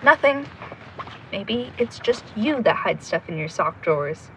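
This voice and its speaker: A woman playing a brisk, wry young woman who takes charge of an amateur sleuth team in an audio drama. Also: mid-range voice, big pitch swings, background noise. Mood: brisk, focused. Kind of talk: audio drama acting, naturalistic.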